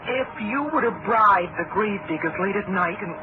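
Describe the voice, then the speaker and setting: A woman's voice: medium pitch, normal speed, lightly acted. A woman playing an anxious, insistent woman caught in a crime in a radio drama. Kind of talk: old-time radio drama acting. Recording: dull vintage radio, very loud noise.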